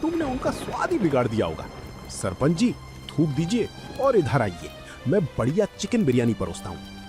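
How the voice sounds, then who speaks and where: A man voices a boastful cartoon biryani hawker. He is mocking and smug, high in pitch and extremely animated, over soft music. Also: normal speed. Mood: scornful, coaxing. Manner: taunting, smug.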